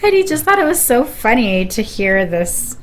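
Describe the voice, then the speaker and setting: A woman's voice: a little high, sing-song, normal speed, slight background noise. A middle-aged woman chatting casually as a guest on a live game stream. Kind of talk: casual chat.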